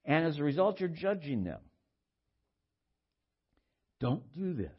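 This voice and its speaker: Older baritone man preaching, slightly muffled recording. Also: big pitch swings, breathy edge. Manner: halting, repeats words, conversational. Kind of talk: informal sermon with personal anecdotes.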